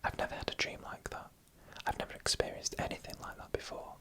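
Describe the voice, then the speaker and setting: A narrator telling scary stories in a slow, hushed, breathy ASMR whisper, close to the microphone. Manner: slow, hushed, deliberate storytelling. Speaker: host and narrator.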